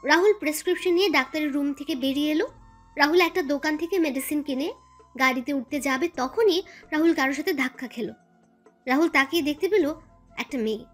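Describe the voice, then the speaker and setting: A woman's voice: high voice, a little fast, soft background music. A woman reading scripted story narration as the narrator.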